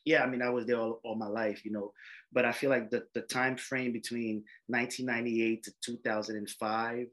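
A man's voice: medium pitch, level tone, a little fast, a bit bright, low-quality recording. A man, an emergency doctor telling his life story in a casual interview, rambling with many fillers and restarts. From Haiti. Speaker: emergency medicine doctor, guest.

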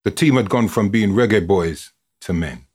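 Male narrator, low and husky, expressive storyteller reading from a script with drama. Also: moderate pace. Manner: expressive, dramatic delivery with built-up lists. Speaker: reader, narrator of a written football story.

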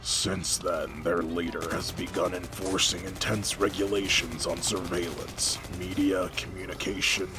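A man acting as an authoritative military general in a sci-fi audio drama, speaking in a formal, measured, solemn way. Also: low voice, level tone, over music. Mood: grave, commanding.

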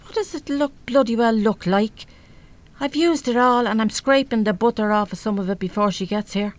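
A woman's voice: on the high side, normal speed, natural voice. An actress playing a weary, no-nonsense older working-class mother in a live radio play, with an Irish accent and a blunt, wry, grumbling manner. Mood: gruff, exasperated.

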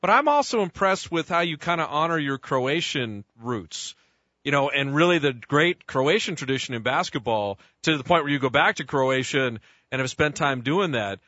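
A man hosting sports radio speaks in a bright, fairly high voice that sounds a bit muffled and dry. His questions ramble along with plenty of restarts and fillers. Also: animated, moderate pace, no echo. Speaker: radio sports show host. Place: radio studio.

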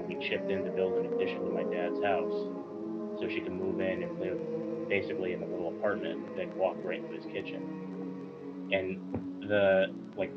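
Very low, flat-voiced man telling a story. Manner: steady, detailed storytelling. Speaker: podcast guest. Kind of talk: casual conversation. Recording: muffled recording with loud music behind.

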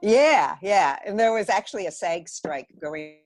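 A woman's voice: mid-range voice, lively intonation, a little slow, a little mellow, dry recording, soft background music. A woman, telling stories candidly and with self-deprecating humour as a guest in a casual interview. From Los Angeles area, United States. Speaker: podcast guest, theatre director.

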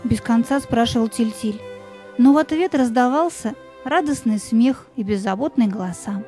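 A woman's voice: on the high side, normal speed, over music. A woman narrator telling a scripted fairy tale as part of an audio play.

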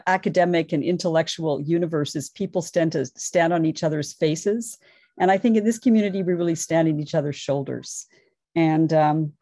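A woman's voice: on the low side, warm tone, no room sound, clean studio sound. A woman scholar giving a conference presentation, earnest and enthusiastic, thinking aloud as she goes. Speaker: academic panelist.

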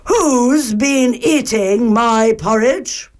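A woman voicing a big, indignant father bear in a storybook, booming and growly with drawn-out words.

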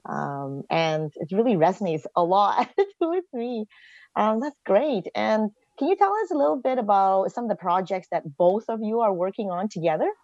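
A woman's voice: mid-range voice, big pitch swings, moderate pace, darkish tone, no room sound. A woman from Malaysia hosting a podcast, asking her guests friendly, guided questions. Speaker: podcast host. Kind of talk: podcast interviewing. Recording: dull lo-fi sound.

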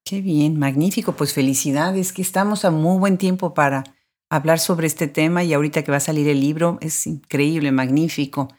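A woman's voice: low voice, steady tone, normal speed, a little mellow. A woman interviewer who gives effusive, encouraging praise and asks her guest questions. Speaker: interviewer, host.

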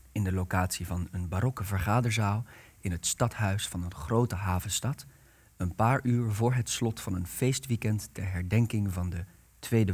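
A young man narrating a radio play, reading out the cast and stage directions in a measured, deliberate way. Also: fairly low pitch, a little mellow.

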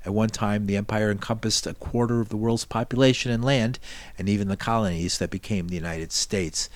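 A male news host reads his scripted introduction in a steady, formal manner. His voice sits fairly low. The recording is dry and close. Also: fairly quick, dry recording. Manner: steady, formal read delivery.

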